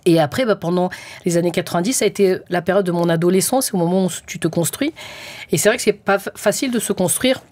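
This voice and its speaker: A woman's voice: fairly low pitch, fast talker, a little mellow, no room sound. A woman in her forties from France, a guest conductor answering interview questions in an earnest, hesitant way with many fillers. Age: 40s. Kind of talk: casual interview talk.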